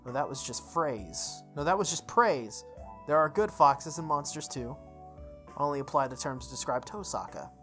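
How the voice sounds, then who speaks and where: A prim male student in a visual novel, read by a woman talks in a low, dry register. He is judgmental and pedantic, scolding as he goes. Music sits faintly behind the voice. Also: expressive, normal speed, fairly bright tone. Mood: matter-of-fact.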